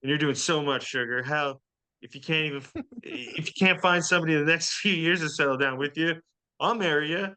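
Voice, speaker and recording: Man playing a bright-voiced folksy diner waitress. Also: a little high, brisk, clean tone. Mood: sweet, encouraging. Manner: folksy, encouraging. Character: kindly, flirtatious, motherly diner waitress, woman. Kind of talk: comedy table read acting.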